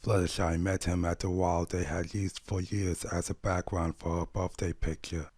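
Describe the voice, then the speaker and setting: A man reading a sad story as scripted narration in a solemn, measured, deliberate way. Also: low-pitched, flat delivery, a little mellow, husky, dry recording. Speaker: audio story reader.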